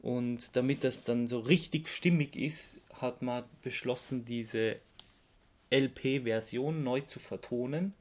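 An Austrian podcast co-host talks in a casual, conversational register. The delivery is explanatory, at a middling pitch, like informal discussion between fans. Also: takes it slowly, a little mellow. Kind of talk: casual chat about radio plays.

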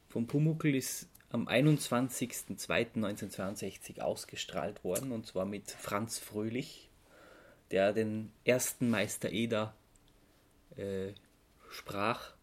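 Austro-Bavarian man explaining casually. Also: on the low side. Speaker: podcast co-host.

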